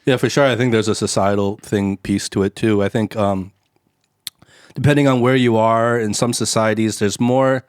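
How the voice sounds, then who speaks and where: A man's voice: on the low side, fairly even intonation, normal speed, clear, resonant tone, dry recording, clean studio sound. A man from the United States hosting a podcast, talking in a candid, rambling way and swearing freely. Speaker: podcast host. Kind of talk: casual podcast chat.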